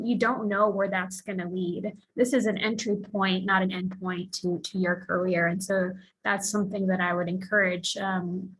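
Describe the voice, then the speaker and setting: A woman's voice: mid-range voice, a bit bright, slight background noise, poor audio. A woman from the Philippines speaking earnestly as a panelist, sharing her own path with many fillers. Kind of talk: panel discussion, personal account.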